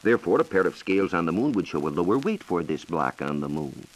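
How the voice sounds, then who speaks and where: Low-pitched American man, lively 1940s radio explainer. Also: low-quality recording. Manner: explanatory, measured, lecturing. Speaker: science reporter and guest expert. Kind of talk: scripted educational radio dialogue.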